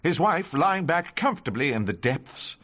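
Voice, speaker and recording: An actor playing a wry, sharp-witted ageing English defence barrister in a radio drama, droll and courtly. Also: male voice, a little high, very animated, normal speed. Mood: droll, persuasive. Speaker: lead character and narrator. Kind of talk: radio drama acting and narration.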